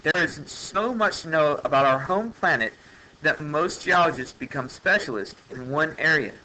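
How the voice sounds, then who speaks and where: A man's voice: fairly high pitch, lively intonation, normal speed, sharp tone, slight background noise, low-quality recording. A man reading an educational science text aloud like a teacher, in a plain manner with occasional stumbles. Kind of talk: educational read-aloud narration.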